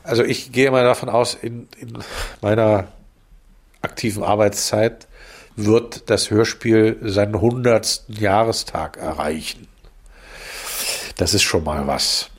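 A middle-aged man answering casually, halting as he thinks aloud. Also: lowish voice.

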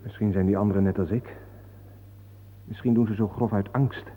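An actor playing a troubled, easily appalled companion, asking earnest, uneasy questions in a radio play. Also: male voice, lowish voice, fairly even intonation, moderate pace, lightly acted. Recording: noisy, tinny phone-like old radio recording.

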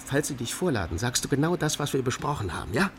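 An actor playing a frightened East German state informant in a radio drama, agitated, stammering and pleading. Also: male voice, mid-range voice, sing-song, fast, a little hiss or hum, natural voice. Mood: tense, fearful.

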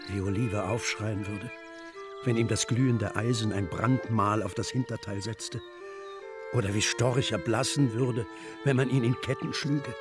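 An actor playing a stern, brooding master of court painters in a German radio play, speaking gravely and deliberately. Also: male voice, fairly low pitch, fairly even intonation, music in the background, close to an everyday voice.

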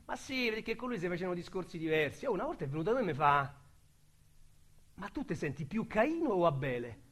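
A crude Roman ex-con. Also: male voice, high-pitched, big pitch swings, quick pace, fairly bright tone, full character voice. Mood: rambling. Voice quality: slangy, rambling, vulgar storyteller. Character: crude talkative male ex-convict recalling prison. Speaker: radio drama actor. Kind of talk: radio drama monologue.

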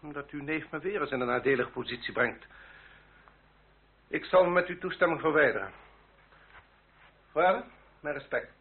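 A courtly, haughty French marquis and duellist in a radio play. His voice is husky and fairly high for a man, highly animated yet formal and coolly threatening. The old recording is dull and lo-fi. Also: normal speed, pauses often. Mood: haughty, icy. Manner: formal, haughty, elaborately polite.

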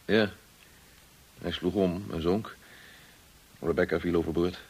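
An actor in a Dutch radio drama playing a kind, discreet and loyal estate manager, courteous and measured in his speech. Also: deep voice, steady tone, darkish tone, slightly rough, heavily acted, low-quality recording. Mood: sober, reticent.

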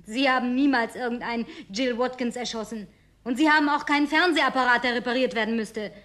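An actress as a high-voiced, wistful genteel woman. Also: fairly even intonation, fast talker, a bit bright, natural voice. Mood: hurt, upset. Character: Linda, lonely genteel woman, wistful, suspicious, easily wounded. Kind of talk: German radio drama acting, naturalistic dialogue.

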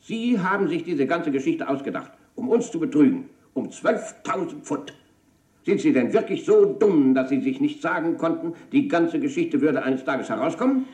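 An actor playing a stern, domineering company boss in a German radio crime play. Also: male voice, on the high side, animated, moderate pace, darkish tone, roomy sound, close to an everyday voice. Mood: accusing. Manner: cutting, domineering, commanding. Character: stern, angry male company boss confronting an embezzler.

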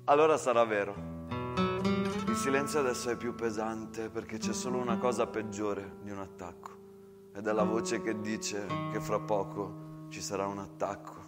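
A man's voice: fairly low pitch, little pitch movement, normal speed, music in the background. A man, narrating a theatrical monologue as an actor.

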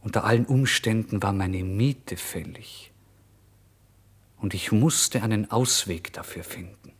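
A man's voice: fairly low pitch, unhurried, slightly rough. A male actor playing a despairing, tormented starving writer in a literary radio play monologue, theatrical in delivery. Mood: weary, dejected. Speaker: actor narrating in first person.

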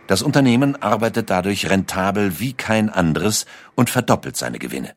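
Deep-voiced male documentary narrator. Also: no echo. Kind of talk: scripted narration.

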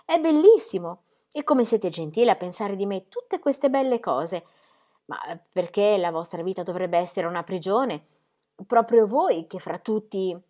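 A woman voicing a dreamy, rapturous young woman who gushes breathlessly in a high voice. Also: expressive, measured pace, warm tone, lightly acted. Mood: thrilled.

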